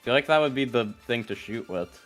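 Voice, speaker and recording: A man of middling pitch comments casually on a video game with a friend. He is blunt and swears freely, with game music softly playing underneath. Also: lively intonation, brisk. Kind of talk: casual commentary, reads game text aloud.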